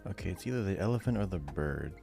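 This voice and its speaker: Very deep-voiced man thinking out loud. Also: lively intonation, fast talker, creaky, faint music behind. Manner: hesitant, reasons his way through answers. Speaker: podcast co-host and quiz contestant. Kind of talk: casual chat, thinking aloud.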